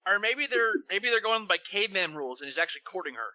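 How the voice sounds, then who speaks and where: A man with a high, sharply bright voice and animated intonation. He comments casually and comically, often in a mock-serious, joking way. The sound is close and dry. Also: fast talker, no echo, dull audio. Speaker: fan fiction podcast reader.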